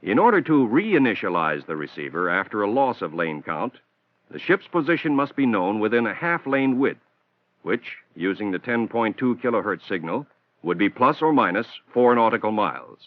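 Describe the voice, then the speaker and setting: A man's voice: on the low side, big pitch swings, dry recording. Dull vintage film narrator, American man. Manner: measured, explanatory, formal. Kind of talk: scripted instructional narration. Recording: dull, band-limited 1960s film soundtrack.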